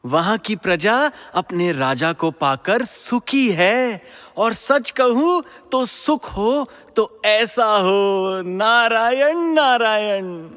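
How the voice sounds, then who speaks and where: A wandering sage of the gods in a Hindi mythological audio drama. His voice is high, clear and smooth, drawn out into a theatrical sing-song that needles as it chants, all in a long hall reverb. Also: expressive, drawn-out words, a bit bright, exaggerated voice. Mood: serene, teasing. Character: celestial wandering sage, teasing messenger of the gods.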